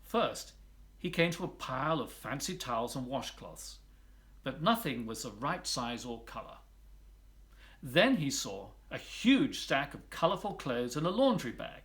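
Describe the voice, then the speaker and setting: A man's voice: mid-range voice, big pitch swings, measured pace, a bit bright. A man narrating a children's picture book expressively, as a volunteer storybook reader.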